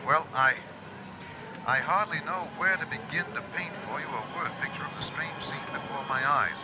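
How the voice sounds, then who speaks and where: A man's voice: fairly high pitch, moderate pace, natural voice. An actor playing an awestruck male field reporter giving 1930s radio commentary. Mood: awed, flustered. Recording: noisy lo-fi field broadcast.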